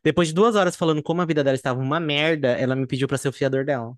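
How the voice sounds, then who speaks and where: Brazilian guy podcast cohost, talks really fast, dry sound, reads stories n adds campy slangy comments. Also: fairly high pitch, no echo. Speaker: podcast co-host. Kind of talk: casual chat, reading listener stories aloud.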